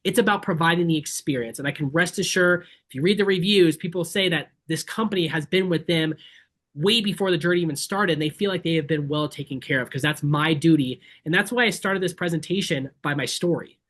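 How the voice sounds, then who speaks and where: A man from Kenya giving an informal, earnest and emphatic webinar sales pitch as a safari tour operator. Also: fairly quick, fairly bright tone. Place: online video call.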